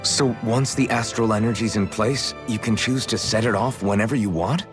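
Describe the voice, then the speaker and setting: An actor playing an earnest, curious young adventurer in a video game, talking straightforwardly. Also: male voice, on the low side, steady tone, moderate pace, soft background music, close to an everyday voice. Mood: curious, impressed. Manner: inquisitive, straightforward.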